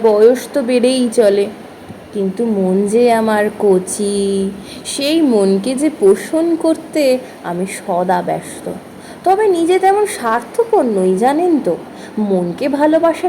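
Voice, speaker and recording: A woman's voice: on the high side, dark tone, a little hiss or hum, rough recording. A woman giving an informal reflective monologue, chatting expressively and addressing listeners directly with rhetorical questions. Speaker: spoken-word content creator.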